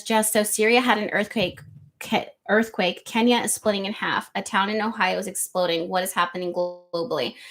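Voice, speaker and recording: A woman hosting an astrology livestream, reading viewer comments aloud in a loose, rambling chat with a soft, slightly nasal voice, close to the microphone. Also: medium pitch. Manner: chatty, rambling, lots of ums and likes. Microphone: close studio microphone.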